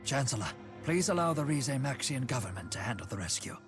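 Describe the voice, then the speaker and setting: An actor playing a composed elderly statesman in a video game, with formal, genteel, measured speech. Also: medium pitch, very animated. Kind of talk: video game cutscene acting. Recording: music behind the voice.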